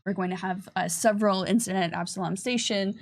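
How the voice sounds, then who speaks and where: A woman with a mid-range voice and plenty of vocal fry chats casually as she presents a livestream. She is upbeat and talkative, fielding questions like a game developer. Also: medium pitch, steady tone, fast talker.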